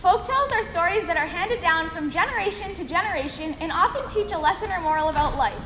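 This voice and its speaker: Very high-voiced woman narrating onstage. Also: animated, fast talker, bright, forceful tone. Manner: presentational, audience-addressing. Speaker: stage narrator. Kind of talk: scripted children's storytelling narration. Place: large hall, live stage show. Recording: echoey hall, background noise.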